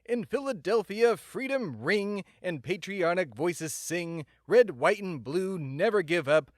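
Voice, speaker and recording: A man's voice: sits high, expressive, measured pace, no room sound, studio quality. A man playing a pompous, self-important male patriot who hosts a comedy podcast in a mock-serious, grandiose way. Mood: grandly dramatic. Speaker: podcast host. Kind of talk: mock-serious comedic monologue and dramatic reading.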